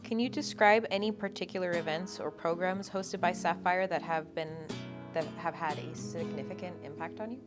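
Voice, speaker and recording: A woman's voice: lowish voice, fairly even intonation, moderate pace. A young woman interviewing a guest, friendly and reading out her questions. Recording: guitar music playing underneath.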